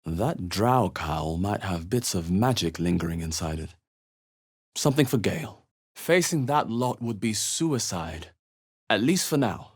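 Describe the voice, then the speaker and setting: A man playing a wary, thoughtful fantasy adventurer in a video game, musing aloud in a measured, dramatic way. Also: low voice, lively intonation, breathy edge, heavily acted. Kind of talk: video game voice acting, short exploration remarks.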